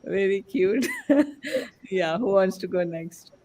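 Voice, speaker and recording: A man's voice: sits high, animated, takes it slowly, darkish tone. A man hosting a casual panel discussion in an encouraging manner, often voicing approval. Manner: encouraging, frequent affirmations. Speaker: podcast host.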